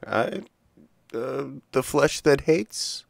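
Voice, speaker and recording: A man's voice: medium pitch, animated, extremely slow, creaky, no room sound. A middle-aged man giving casual, unscripted reactions as a stream host, blunt, sweary and incredulous.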